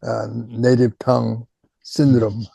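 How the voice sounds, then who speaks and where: An older man, a native Korean speaker with a Korean accent, recalling his career as a retired chemistry professor in an oral history interview, speaking haltingly with many pauses and repeated words. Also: medium pitch, level tone, gravelly, dark tone, slightly rough, dry recording. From Japan.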